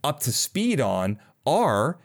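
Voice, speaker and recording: A man's voice: medium pitch, huge pitch swings, slow. A man hosting a podcast in casual conversation, talking in a rambling, enthusiastic way and often interrupting himself. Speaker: podcast host.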